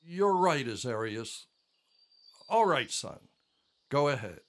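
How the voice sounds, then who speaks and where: Man playing a slow-talking, expressive old blind father. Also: mid-range voice. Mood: questioning, resigned. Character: old blind father, weary, stubborn, devout. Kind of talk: audio drama acting.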